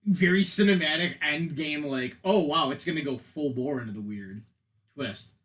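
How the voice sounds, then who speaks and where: A man's voice: a little high, normal speed, a bit bright. A man in a casual podcast discussion, talking in a rambling way with "like" sprinkled all through. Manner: rambling, peppered with "like". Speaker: podcast co-host.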